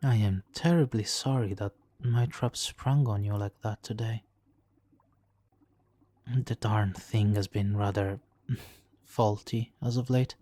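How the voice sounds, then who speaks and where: A man's voice: fairly low pitch, fairly even intonation, takes it slowly, mellow. A man playing a suave, manipulative supervillain in an ASMR roleplay, silky and theatrically polite, smugly doting and sometimes slipping into whispers. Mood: smug, coaxing. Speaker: audio roleplay performer. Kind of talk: ASMR roleplay, hypnotic countdown.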